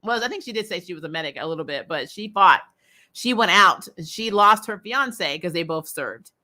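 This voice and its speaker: An American woman hosting a casual recap show, chatting in a blunt, animated, opinionated way with informal language. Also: lowish voice, moderate pace. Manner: animated, frequently laughing, blunt and informal with mild swearing. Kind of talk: casual opinionated fan chat, reading and answering comments.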